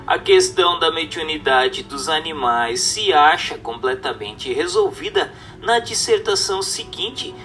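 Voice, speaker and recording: A man reads a formal text aloud as scripted narration, in a measured, didactic manner. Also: fairly high pitch, pushed and bright. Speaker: narrator reading a book aloud. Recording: distant mic with music underneath. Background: music.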